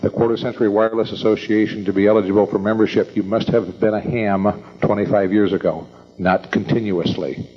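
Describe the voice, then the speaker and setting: An older American man hosting an evening meeting, introducing speakers informally in a halting, rambling, folksy way. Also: fairly low pitch, steady tone, slightly rough. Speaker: event host introducing speakers. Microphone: event microphone. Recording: dull lo-fi event recording.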